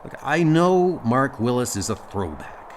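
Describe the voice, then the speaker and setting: A man playing a measured, earnest, loyal starship captain in an audio comic dub. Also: mid-range voice, big pitch swings, a little hiss or hum. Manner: deliberate, earnest.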